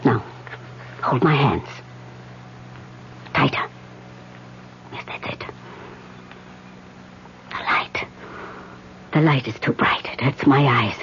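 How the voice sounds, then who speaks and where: An actress playing a calm spirit medium leading a seance, often speaking in a hush, in an old radio drama. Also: female voice, very deep voice, slow pace, pauses often, exaggerated voice. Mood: entranced. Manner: calm, hushed, often whispering. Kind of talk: 1970s radio drama acting. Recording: dull old radio broadcast.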